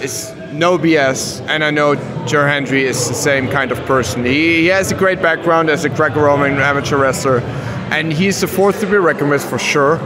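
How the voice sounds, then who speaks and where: A man in his thirties from Germany with a German accent, a wrestler giving a confident, earnest backstage interview in English, his second language, sometimes searching for words. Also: fairly high pitch. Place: backstage at a wrestling event, before a sponsor wall. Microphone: handheld mic held by the interviewer.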